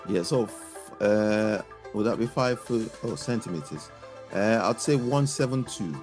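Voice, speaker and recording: A man's voice: lowish voice, measured pace, a little mellow, soft background music. A man answering quiz-like questions in a casual podcast chat, tentative and guessing, a little away from the microphone.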